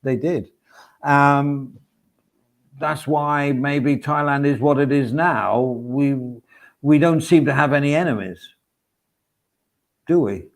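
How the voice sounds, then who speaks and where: Gravelly man rambling on a stream. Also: on the high side, slow, long pauses. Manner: rambling, chatty, reads out viewer comments. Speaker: stream host. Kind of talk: casual chat.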